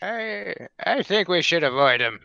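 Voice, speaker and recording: A man's voice: sits high, sing-song, unhurried, creaky, sharp tone, clean tone, no room sound, highs cut off, heavily acted. A man playing a crusty, wary elderly adventurer in a tabletop game, dry, folksy and teasing. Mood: cautious. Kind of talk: tabletop roleplay.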